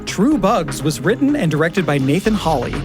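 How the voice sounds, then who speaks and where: A man's voice: on the high side, big pitch swings, moderate pace, music in the background. An American man co-hosting a podcast, speaking in a casual, reflective way at a close studio microphone. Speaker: podcast producer and co-host. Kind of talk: casual reflective chat and credit reading.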